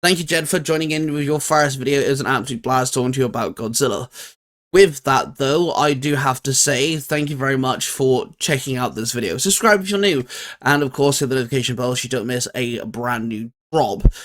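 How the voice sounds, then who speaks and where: A man's voice: mid-range voice, a little fast, fairly bright tone. Male host, mid-range voice, chatty and opinionated, for informal fan debate. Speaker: video host. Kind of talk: casual geeky debate.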